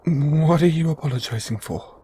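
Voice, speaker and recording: A man's voice: on the high side, normal speed, mellow, a little hiss or hum, close to an everyday voice. A man playing a kindly middle-aged father in an audio roleplay, nervous, awkward and stammering. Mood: flustered, uneasy.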